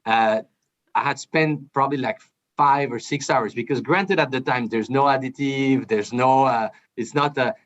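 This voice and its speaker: A man with a French accent tells his story as an interview guest, pausing often on "uh." His voice sits mid-pitch and comes through a muffled, slightly dull video-call connection. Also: fairly bright tone. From France. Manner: hesitant, frequent "uh" fillers. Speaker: guest, brand manager.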